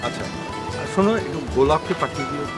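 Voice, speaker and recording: Brisk, higher-voiced male boss, played by a man. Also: loud music behind the voice, natural voice. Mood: encouraging. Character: approving male boss giving instructions. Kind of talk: Bangla TV drama acting.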